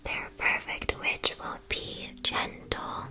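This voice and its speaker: A woman playing a gentle, attentive witch-school shopkeeper in a soft, soothing ASMR roleplay. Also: slow.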